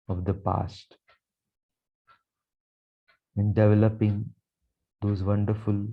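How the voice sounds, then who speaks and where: A man guiding a meditation with affirmations in a slow, calm, soothing delivery with long pauses. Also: low-pitched. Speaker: meditation guide, Reiki teacher. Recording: dull, muffled lo-fi sound.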